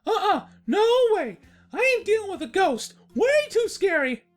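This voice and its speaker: A woman's voice: very high voice, big pitch swings, measured pace, faint music behind, voice pushed up, exaggerated voice. A woman voicing a panicky, lovestruck young male cartoon dragon who blurts out lines frantically. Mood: panicked.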